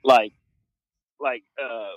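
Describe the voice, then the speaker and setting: A man's voice: mid-range voice, fairly even intonation, drawn-out words, dry recording, phone-quality sound. A man talking loosely in a casual podcast discussion, rambling and filling with "like" and "you know what I mean".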